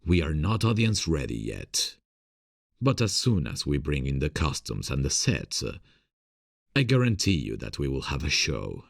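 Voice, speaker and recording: A man playing a gentle, encouraging theater director who is secretly smitten, in a breathy, gravelly scripted ASMR audio roleplay. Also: rumbling low, dry recording, studio quality, heavily acted. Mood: proud, encouraging. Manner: gentle, encouraging, soft chuckles. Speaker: voice actor.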